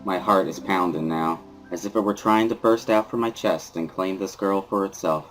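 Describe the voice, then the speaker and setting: Low-voiced man narrating dramatically over guitar. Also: moderate pace, a bit bright, poor audio. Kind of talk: dramatic first-person story narration. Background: guitar music.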